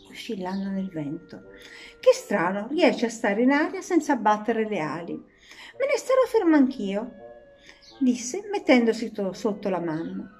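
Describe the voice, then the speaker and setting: A woman reading a children's story aloud in an animated, expressive way. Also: mid-range voice. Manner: animated, dramatizing the characters' lines.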